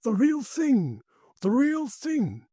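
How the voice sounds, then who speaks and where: A man voicing a commanding, learned and grave occult investigator in a dramatised story, emphatic and with hesitant pauses. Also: on the high side, dark tone, no echo, clean studio sound, pitched above the performer's own voice, lightly acted. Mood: awed, excited. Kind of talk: dramatised audiobook character.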